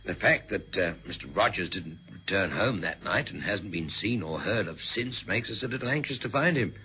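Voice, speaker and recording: Formal, sharp-voiced police inspector. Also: male voice, deep voice, animated. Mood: courteous, insinuating. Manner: formal, polite, deliberately insinuating. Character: measured, courteous, probing male police inspector. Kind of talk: radio drama acting. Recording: noisy old radio drama recording.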